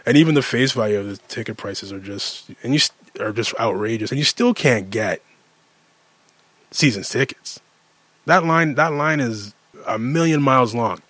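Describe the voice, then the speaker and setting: A man's voice: mid-range voice, lively intonation, normal speed, fairly bright tone, dry recording. Exasperated Canadian man ranting, close dry sound. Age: late 30s. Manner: exasperated, emphatic, repeats phrases for effect. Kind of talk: casual sports rant monologue.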